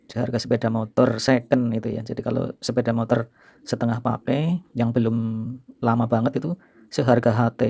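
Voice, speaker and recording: A middle-aged man from Indonesia hosting a video, reminiscing to viewers in an informal, unhurried, explanatory way. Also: medium pitch, creaky, darkish tone, dry recording. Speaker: video host and storyteller.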